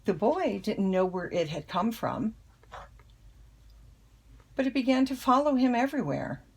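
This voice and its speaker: An older woman, a grandmother with a husky, creaky voice, reading a children's picture book aloud. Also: fairly high pitch, sing-song, a little slow, rough recording. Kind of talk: children's storybook read-aloud.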